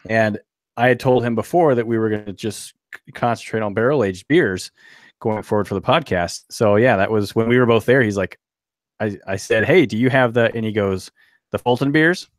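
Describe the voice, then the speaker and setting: A man's voice: fairly low pitch, no echo, clean studio sound. A man co-hosting a podcast in casual chat, enthusiastic and teasing. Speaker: podcast co-host.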